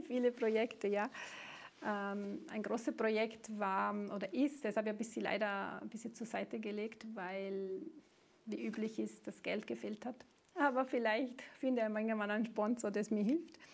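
A woman with a noticeable Italian accent speaks in a voice a touch higher than usual, with a gravelly grain. She answers interview questions hesitantly, restarting phrases and reaching for words. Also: fairly even intonation, measured pace. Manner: hesitant, searching for words, many fillers. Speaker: songwriter.

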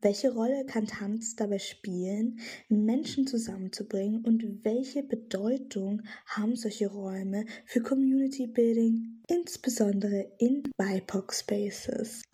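Woman, voice-over narration, slow, mellow, breathy. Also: mid-range voice. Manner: calm, measured reading.

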